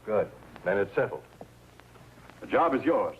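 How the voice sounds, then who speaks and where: Frank, measured rich employer, tactful and businesslike with lively pitch swings, noisy vintage crime-drama soundtrack. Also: male voice. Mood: reassuring. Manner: deliberate, businesslike, hesitating tactfully. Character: measured, frank, well-off husband hiring a chauffeur. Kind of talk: 1950s crime drama acting. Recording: dull, noisy old film soundtrack.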